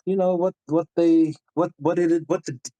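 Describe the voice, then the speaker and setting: A man voicing a cordial, suave male adventurer in a tabletop game, wordy and halting. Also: fairly high pitch, flat delivery, dark tone, pitched above the performer's own voice, natural voice. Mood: cordial, flustered. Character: suave, cordial male adventurer who gets flustered and grumpy.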